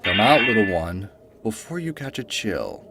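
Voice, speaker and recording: Man playing deep, aloof ice warrior. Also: moderate pace, reverberant, heavy background noise. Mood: brooding. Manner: terse, stern, deadpan. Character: cold aloof brooding ice warrior hero. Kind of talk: dramatic animated narration and dialogue. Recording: music behind the voice.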